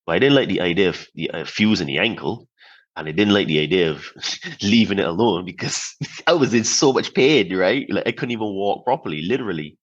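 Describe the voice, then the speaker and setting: A man's voice: deep voice, animated, fairly quick, highs cut off. A middle-aged man from Barbados, a podcast guest telling his story in short reflective phrases, with many "you know"s.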